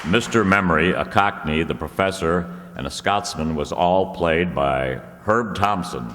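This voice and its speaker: A man playing a vintage radio announcer, reading scripted copy in a measured, formal manner. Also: low-pitched, fairly bright tone, a faint crowd. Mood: formal, genial. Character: smooth 1930s radio announcer selling soap. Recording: echoey hall, noisy audience room.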